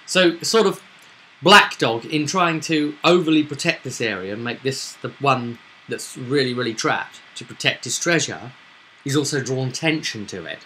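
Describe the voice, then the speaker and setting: Male game master, bright medium-pitched voice, casual storytelling recap, some room noise. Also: lively intonation, normal speed, low-quality recording.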